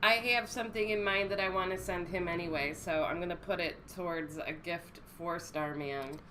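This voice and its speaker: A woman's voice: fairly low pitch, fairly even intonation, normal speed, sharp tone, slight background noise, low-quality recording. A woman co-hosting a live stream while she has a cold, chatting in a friendly way and thanking viewers. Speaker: co-host. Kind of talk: casual chat thanking viewers.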